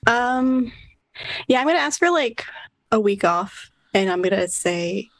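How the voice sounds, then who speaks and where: A woman's voice: fairly high pitch, expressive, a little slow, dry recording. A woman player introducing herself and roleplaying, blunt and offhand. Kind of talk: in-character roleplay and casual intro.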